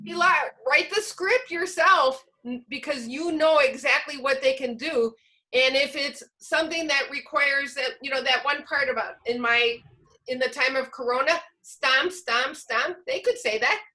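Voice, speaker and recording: A woman presenting an online teacher training, speaking loudly and encouragingly as she explains and answers questions informally. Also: high-pitched, a little slow. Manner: loud, projected, encouraging. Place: online video call.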